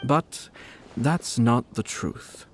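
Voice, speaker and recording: Composed young aristocrat, game dialogue: courteous, unhurried phrasing, understated playfulness, faint music. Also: male voice, mid-range voice. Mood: reflective, measured. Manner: measured, polite, suave. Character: composed young nobleman commissioner, refined, slyly playful. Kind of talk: video game voice acting.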